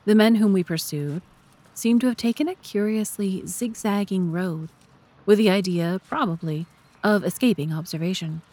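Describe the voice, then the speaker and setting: A woman's voice: mid-range voice, moderate pace, dark tone. A woman narrating a scripted audiobook story.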